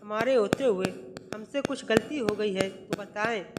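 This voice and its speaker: A man voicing a gentle, pleading young daughter-in-law who speaks softly and politely. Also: high voice, measured pace, very noisy. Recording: long hall reverb.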